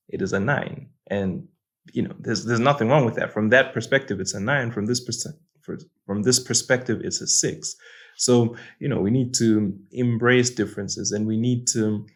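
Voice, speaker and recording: A man's voice: fairly low pitch, moderate pace. A young man from Malawi hosting his own channel, talking through life lessons in an earnest, reflective way and often repeating words as he thinks. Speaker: host. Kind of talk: casual reflective monologue.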